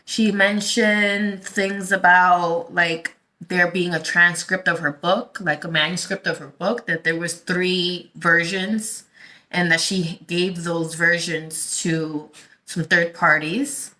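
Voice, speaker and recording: A woman from the Dominican Republic talking casually to her viewers as a tarot channel host, in a halting, rambling way as she thinks aloud. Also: on the low side, fairly even intonation, bright, forceful tone. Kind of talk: casual vlog talk to viewers.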